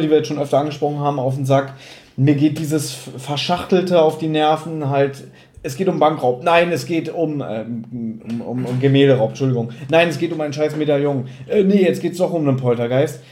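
A man speaks in a fairly high, soft-edged voice. He reviews casually and bluntly, like a podcast host with firm opinions. The room adds noticeable reverberation. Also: a little fast. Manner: opinionated, blunt, colloquial swearing.

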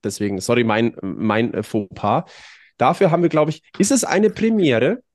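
A man hosting a casual podcast, a little hoarse from a cold, chatty and upbeat with frequent filler words. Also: mid-range voice, moderate pace, no echo, clean studio sound. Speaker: podcast host.

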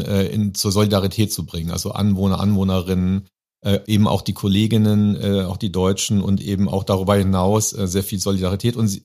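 A man hosting a podcast in informal political discussion, phrasing things in a halting, loosely structured way. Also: low voice, darkish tone. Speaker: podcast host.

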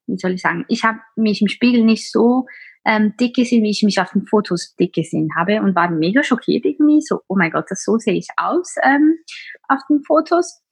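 A woman in her twenties with a Swiss German accent, talking openly as a podcast guest, often pausing on filler sounds. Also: medium pitch, animated, breathy edge, dry recording. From Switzerland. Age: 20s. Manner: open, many filler sounds. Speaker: podcast guest, teacher and blogger. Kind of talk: casual interview talk.

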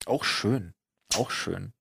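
A man's voice: on the low side, slow, gravelly, fairly bright tone, slightly rough, dry recording. Young male podcast host, slangy comedic banter, dry sound. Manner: slangy, mixes in English words.

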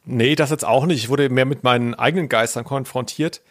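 A man's voice: medium pitch, brisk. A man with a mid-range voice co-hosts a casual podcast. He tells personal stories in a loose, rambling manner, readily making himself the butt of them. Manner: self-deprecating, rambling storytelling. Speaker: podcast host.

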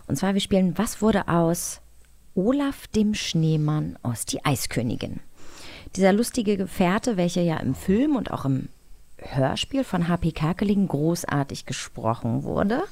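A woman's voice: on the low side, very animated, measured pace, a little mellow, no room sound. A woman co-hosting, presenting a game segment in a chatty show style. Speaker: co-host.

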